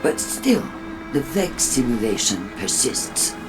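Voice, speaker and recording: A haughty female faction leader in a game cutscene, cold and disdainful. Speech is measured and slow with wide swings in pitch, over loud music. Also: big pitch swings, heavily acted, low-quality recording. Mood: scornful. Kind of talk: video game cutscene acting.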